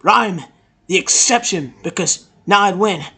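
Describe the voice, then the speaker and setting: A man performing a crude, profane, improvised comic anime fandub with halting delivery. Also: high-pitched, animated, pushed and bright, slightly rough. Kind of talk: comedic improvised anime parody dub.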